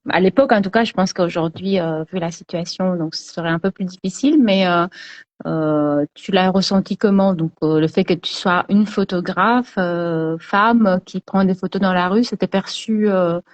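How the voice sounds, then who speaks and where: A woman's voice: on the low side, moderate pace, muffled recording. A woman hosting a casual interview, asking long rambling questions with many restarts. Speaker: host, interviewer.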